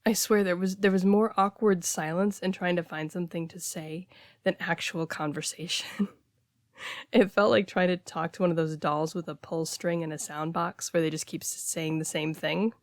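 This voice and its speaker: A woman playing a casual, blunt heartbreaker friend in an intimate audio roleplay, talking conversationally with hesitant, expressive delivery. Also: on the low side, normal speed, dry recording, clean studio sound, natural voice. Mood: deflated, wry. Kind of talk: intimate one-sided audio roleplay, naturalistic dialogue.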